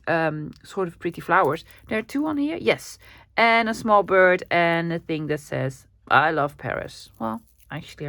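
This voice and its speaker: A woman's voice: mid-range voice, fairly bright tone, no room sound. Chatty Dutch woman showing thrift finds. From Netherlands. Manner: chatty and upbeat, frequent ums and small laughs. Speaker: crafting vlogger. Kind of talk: casual haul video chat. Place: home room at the end of the day.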